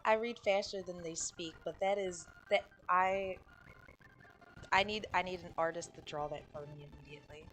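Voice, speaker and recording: A woman's voice: lowish voice, steady tone, moderate pace, a bit bright, a little hiss or hum, low-quality recording. A woman giving casual, teasing and gushing commentary as she reacts to a video game. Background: game music.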